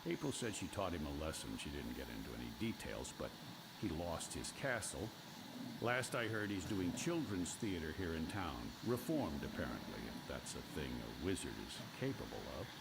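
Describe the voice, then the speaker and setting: An actor playing a courteous, bookish older scholar in a video game, musing in a genteel, formal way. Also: male voice, very deep voice, big pitch swings, normal speed, vocal fry, very noisy, exaggerated voice. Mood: thoughtful.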